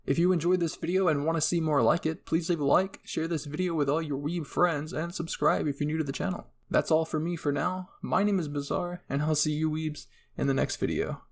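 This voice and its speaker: Gravelly, higher-pitched man doing irreverent commentary. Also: steady tone, brisk, hardly any pauses. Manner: irreverent, sardonic, casually profane. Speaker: YouTube host. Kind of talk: casual tier-list commentary, partly scripted voice-over.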